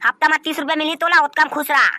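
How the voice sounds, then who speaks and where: A performer voicing a bossy, wily young cartoon shop partner, squeaky and scolding, with a Chhattisgarhi accent. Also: fairly even intonation, very fast, bright, forceful tone, dry recording. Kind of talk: comedy cartoon dub in Chhattisgarhi.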